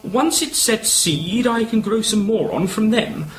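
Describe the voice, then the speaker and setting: Man playing a courtly high-voiced old colonel. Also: a little slow, soft background music, rough recording. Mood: proud, confiding. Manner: genteel, old-fashioned gallantry. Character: courtly, proud older retired colonel and lily grower. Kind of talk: amateur radio play acting.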